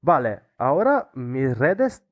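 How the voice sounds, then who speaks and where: Young Italian-accented man, casual podcast guest, mid-pitched, hesitant and word-searching. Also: sing-song, takes it slowly, narrow, tinny audio. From Italy. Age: young adult. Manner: hesitant, many restarts and fillers, searching for words. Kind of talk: casual interview chat.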